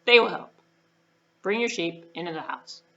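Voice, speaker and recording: A woman's voice: lowish voice, normal speed, pushed and bright, lo-fi sound. A woman voicing a wise old frog in a children's puppet story, giving short, unruffled instructions. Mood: calm.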